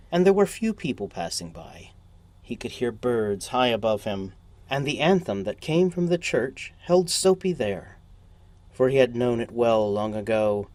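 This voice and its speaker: A man reading a short story as a narrator, in deliberate, measured phrases. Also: medium pitch, darkish tone, dry recording.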